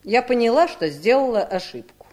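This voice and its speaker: An actress playing a scornful, cold-hearted, greedy wife in a 1970s radio drama, with a mocking, theatrical delivery. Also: female voice, a little high, big pitch swings, moderate pace, a little mellow. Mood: mocking, reminiscing.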